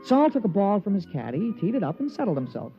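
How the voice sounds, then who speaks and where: High-voiced, excitable young American caddy. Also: male voice, fast. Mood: colloquial, keen. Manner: colloquial, folksy storytelling. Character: excitable, loyal young male golf caddy narrating. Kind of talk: 1950s radio drama acting and narration. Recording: muffled old radio recording, music underneath.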